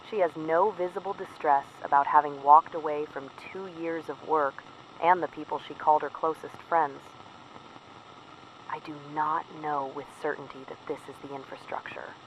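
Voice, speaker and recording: A dull, faintly noisy field recording carries a slightly high-voiced actor as a male resistance operative. He reports in short, precise sentences, measured and troubled, as in a dystopian audio drama. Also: a bit bright. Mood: grave, certain.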